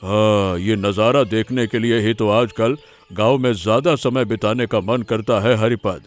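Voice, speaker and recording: Man playing a genial low-voiced old grandfather. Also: unhurried, close to an everyday voice. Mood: genial, delighted. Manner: hearty, repeats exclamations. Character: genial old village grandfather, easily delighted. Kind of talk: Hindi cartoon story dialogue.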